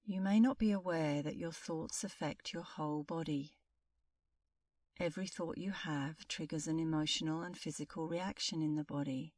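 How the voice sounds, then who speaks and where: A middle-aged woman leading a guided meditation as an instructor, speaking in a calm, soothing, unhurried way with long pauses. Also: sits low, no room sound, recorded from a distance.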